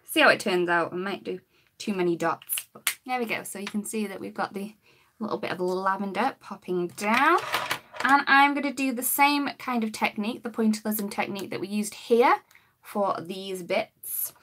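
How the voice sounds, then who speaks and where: A woman, her voice a bit croaky, demonstrating coloring with markers in a chatty live-stream lesson. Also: mid-range voice, moderate pace, background noise. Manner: chatty, talks while working, greets viewers. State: slightly croaky voice.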